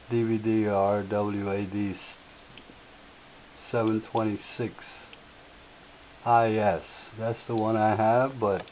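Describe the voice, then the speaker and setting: A man with a slightly raspy voice, hosting a software tutorial and explaining each step in an unhurried way with filler words. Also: fairly low pitch. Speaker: tutorial host. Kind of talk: screen-share software tutorial. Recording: tinny lo-fi audio with faint hiss.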